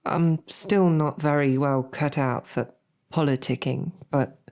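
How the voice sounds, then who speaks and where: A woman playing a lovestruck royal knight in a romantic fantasy audio roleplay, sweetly awkward, halting and playfully snarky. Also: sits low, steady tone, a little mellow. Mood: earnest, tender. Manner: halting, flustered, rambling.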